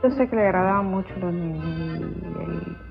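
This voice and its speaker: A woman's voice: lowish voice, normal speed, vocal fry, soft background music. A woman in an interview fondly recalling a family member, chatty with small fillers. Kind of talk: reminiscing interview. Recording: phone-like interview audio with light noise.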